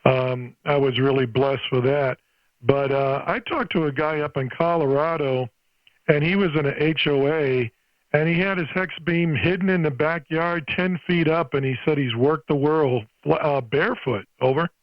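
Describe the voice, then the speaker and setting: A man's voice: on the high side, moderate pace, dry recording. An older American man chatting casually as an amateur radio operator over the air. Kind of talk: casual ham radio chat. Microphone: radio microphone. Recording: dull, lo-fi ham radio transmission.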